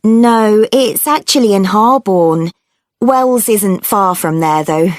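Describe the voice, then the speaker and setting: A woman's voice: medium pitch, no echo, clean studio sound, close to an everyday voice. A woman playing a friendly, chatty bus passenger in her thirties who answers a survey in a scripted listening-test dialogue. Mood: obliging. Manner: chatty, obliging, unhurried.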